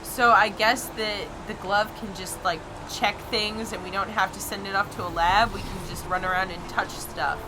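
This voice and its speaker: A woman's voice: mid-range voice, steady tone, moderate pace, few pauses, background noise. A young woman co-hosting a gameplay video, chatty and explaining things. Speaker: let's play co-host. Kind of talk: casual gameplay commentary.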